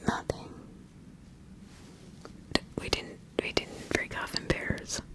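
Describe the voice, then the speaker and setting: A woman's voice: slow, natural voice. Woman acting a whispering, scared accused farm woman. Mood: scared, pleading. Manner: hesitant, stammering, evasive, pleading. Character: scared, flustered poor farmer woman accused of witchcraft. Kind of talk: whispered ASMR roleplay.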